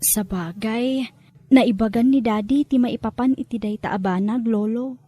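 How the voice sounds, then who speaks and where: A woman's voice: a little high, normal speed, dark tone, lightly acted. A woman playing a sad, polite young granddaughter in an Ilocano radio drama, speaking in a plaintive, respectful way. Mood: sorrowful, grateful.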